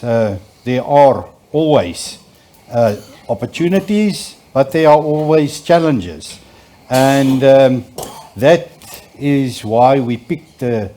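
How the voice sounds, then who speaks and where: A man's voice: mid-range voice, mellow. A man from South Africa facilitating a conference, giving formal opening remarks in an auditorium with hesitant delivery and many filler sounds.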